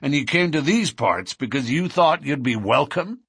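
A man voicing a menacing, gruff lodge boss in an audiobook, blunt and threatening as he interrogates. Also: on the high side, lively intonation, normal speed, a bit bright, no echo, studio quality, pitched above the performer's own voice, heavily acted. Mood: amused, approving. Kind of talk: audiobook character dialogue.

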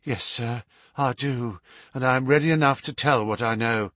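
A man's voice: mid-range voice, normal speed, fairly bright tone, no room sound, clean studio sound, close to an everyday voice. A man voicing a gaunt, plain-spoken servant woman in a storybook, deferential and aggrieved, with folksy phrasing. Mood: aggrieved, eager.

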